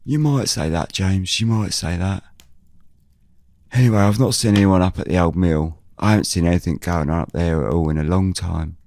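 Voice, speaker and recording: A man playing a genial, cautious older countryman in an audio drama, with a folksy, unhurried, chatty manner. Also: low voice, darkish tone. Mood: easygoing. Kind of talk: audio drama character dialogue.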